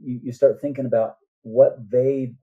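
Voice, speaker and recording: An older man speaking earnestly and deliberately as a novelist guest in a reflective podcast interview. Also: mid-range voice, fairly even intonation. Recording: dull, muffled lo-fi sound.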